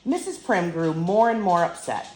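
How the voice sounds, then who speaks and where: An older woman reads a picture book to children with animated, emphatic expression. Her voice sits a little low and is heard in a roomy, echoing space. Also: moderate pace, background noise. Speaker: grandmother reading stories. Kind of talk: storybook read-aloud for children.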